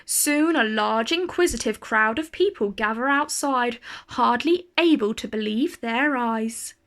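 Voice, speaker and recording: High-voiced woman reading a children's story. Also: animated, unhurried, a bit bright. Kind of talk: expressive children's story read-aloud.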